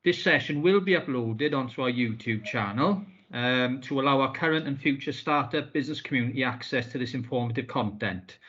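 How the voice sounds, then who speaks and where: A man's voice: mid-range voice, a little fast, hardly any pauses, a bit bright. A man, a business support officer, giving a formal welcome to a webinar over a video call, with many ums and uhs. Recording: muffled video call audio.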